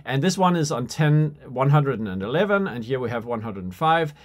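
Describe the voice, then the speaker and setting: A man in his forties giving an unscripted software tutorial, thinking aloud and halting as he demonstrates. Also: medium pitch, very animated. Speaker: product demonstrator.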